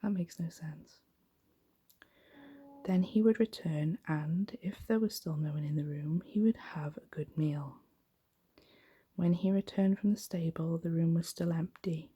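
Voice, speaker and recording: Woman, ASMR storyteller, whispery, gentle, unhurried, dark mellow tone, lowish pitch. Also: fairly even intonation. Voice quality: breathy, whispery. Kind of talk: soft-spoken ASMR bedtime story reading.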